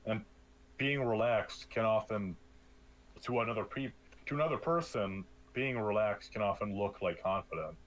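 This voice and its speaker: A young man with a deep, loud voice co-hosting a podcast in casual chat, often restarting his sentences and joking dryly. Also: moderate pace, low-quality recording. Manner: deep loud voice, hesitant restarts, dry jokes. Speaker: podcast co-host. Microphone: streamed podcast mic.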